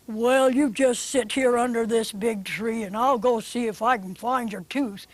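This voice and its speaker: A woman voicing a gentle, fatherly male bear in a puppet show, talking slowly and soothingly. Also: a little high, no room sound. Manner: slow, soothing and reassuring.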